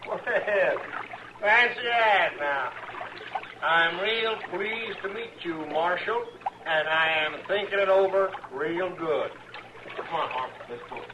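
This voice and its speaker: A mocking outlaw who drawls his threats very slowly in a somewhat high voice. The sound is roomy and echoey, with steady hiss like an old radio play. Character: sneering male outlaw horse thief. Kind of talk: 1950s radio drama acting.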